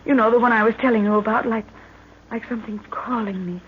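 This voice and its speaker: An actress playing an anxious, affectionate young heroine in genteel, melodramatic old radio style. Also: female voice, fairly high pitch, fairly even intonation, fast talker, close to an everyday voice. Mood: troubled, confiding. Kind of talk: 1940s radio acting. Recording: muffled, noisy old radio recording.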